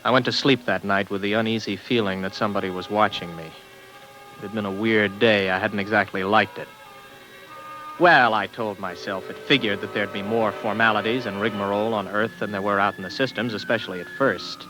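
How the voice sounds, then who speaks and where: An actor playing an uneasy, defiant young former space officer in a 1950s radio drama. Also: male voice, lowish voice, steady tone, a little fast, natural voice, low-quality recording. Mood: uneasy, brooding. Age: young adult. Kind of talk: 1950s radio drama acting and first-person narration. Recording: old radio recording with music behind.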